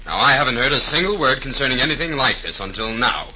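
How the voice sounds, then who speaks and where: A confident police detective in a vintage 1930s radio drama. He speaks briskly and with authority in a very bright, penetrating voice, over faint recording hiss. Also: mid-range voice, expressive, poor audio. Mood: assured, explaining. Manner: brisk, assured, tough-talking explainer. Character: confident, no-nonsense male police detective sergeant.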